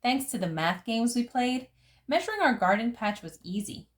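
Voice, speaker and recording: A woman reading a picture book aloud as a storyteller, phrasing it deliberately for young listeners. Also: on the high side, lively intonation. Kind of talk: expressive picture book narration.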